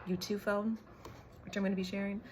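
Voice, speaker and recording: A woman's voice: mid-range voice, level tone, a little fast, a little mellow, a little hiss or hum. A woman coach giving an emphatic motivational livestream talk, swearing casually and often checking in with short tag questions. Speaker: brand coach and business co-owner.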